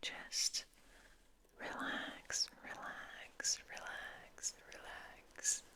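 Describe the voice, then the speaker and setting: A woman hosting ASMR, speaking in a gentle, intimate, breathy whisper at an unhurried pace. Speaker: ASMR host. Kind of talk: soft-spoken whispered ASMR.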